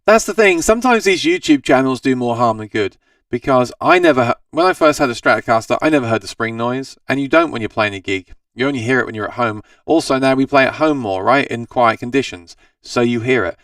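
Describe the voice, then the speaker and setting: A man's voice: medium pitch, expressive, fairly quick, a bit bright, clear, resonant tone, dry recording, studio quality, far from the mic. A man hosting a casual live stream about guitars, answering viewer comments in a rambling, self-correcting way, his voice tired and scratchy. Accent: Australian. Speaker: host of a live gear Q&A stream.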